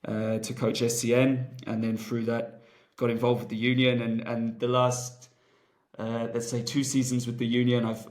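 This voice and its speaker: A man, a rugby coach, answering interview questions in a relaxed, rambling way, with many fillers and restarts. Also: medium pitch. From Wales.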